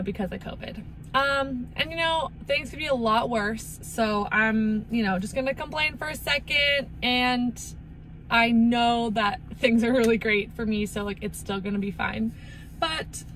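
A woman's voice: fairly high pitch, a bit bright. A young American woman from Los Angeles chatting casually to a camera inside a car. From Los Angeles, USA. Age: young adult. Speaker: vlogger. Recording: clearly noisy car interior recording.

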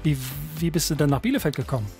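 A man's voice: fairly high pitch, big pitch swings, very fast, mellow, soft background music. A man hosting a radio show, steering the talk with questions and announcer-style teasers. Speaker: radio host.

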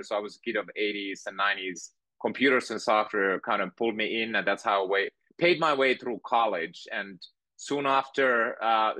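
Guy in his 40s on a video call, thoughtful storyteller, crisp bright tone, dry sound. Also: mid-range voice, dry recording, low-quality recording. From Finland. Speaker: guest entrepreneur. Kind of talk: interview conversation. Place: home room with bookshelves.

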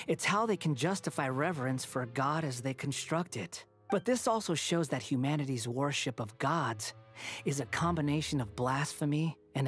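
An actor voicing an arrogant young male would-be god in a video game, talking down in a smug, mocking, slow lecturing way. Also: mid-range voice, a bit bright, lightly acted.

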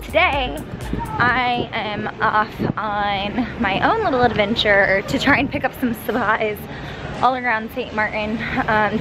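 A woman's voice: mid-range voice, noisy background. A woman in her thirties talking casually to a handheld camera as a vlog host. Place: outdoors in town, in a car, on a boat. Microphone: handheld camera close by. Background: music.

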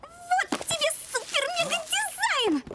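Very high-pitched cartoon puppy girl. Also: animated, takes it slowly, heavy background noise, full character voice. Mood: stubborn. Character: cute girl puppy, sweet but stubborn. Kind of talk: animated cartoon voice acting.

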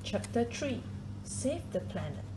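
Woman reading aloud over clear background noise. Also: fairly high pitch, big pitch swings, slow pace. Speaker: children's book reader. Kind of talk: read-aloud of children's nonfiction book.

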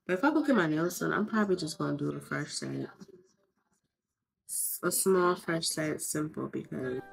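A woman's voice: low-pitched, animated, normal speed, creaky, a crowd in the background, rough recording. A young woman, congested from covid, chatting bluntly and swearing freely in a casual vlog. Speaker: vlogger.

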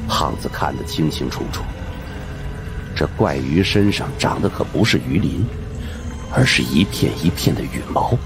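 A man's voice: a bass voice, lively intonation, moderate pace. A man narrating supernatural suspense fiction as a dramatic audiobook reader. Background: background music.

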